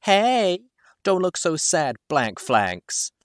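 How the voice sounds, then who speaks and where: A man's voice: fairly high pitch, big pitch swings, very slow, dry recording, studio quality, pitched above the performer's own voice, exaggerated voice. A man voicing an older schoolyard bully pony who taunts in a mocking, falsely sympathetic way for a storybook reading. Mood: mocking taunting.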